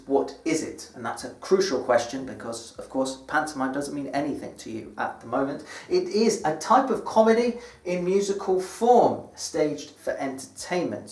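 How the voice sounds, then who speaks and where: A British man presenting an educational lesson in a deliberate, explanatory manner, like a teacher addressing language learners. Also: mid-range voice. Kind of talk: scripted educational presentation to camera. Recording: echoey room, distant microphone.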